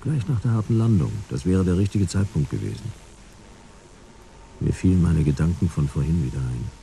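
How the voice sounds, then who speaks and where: An actor playing a world-weary detective, narrating his case in dry, terse, deadpan first person. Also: low voice, moderate pace, creaky, slight background noise, rough recording. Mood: dry, detached. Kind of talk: scripted first-person noir narration in radio play.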